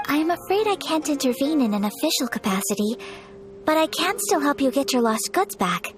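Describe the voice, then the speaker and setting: High-voiced, refined young noblewoman. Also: big pitch swings, fairly quick, over music. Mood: composed, firm. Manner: formal, composed, courteous. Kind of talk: video game voice acting.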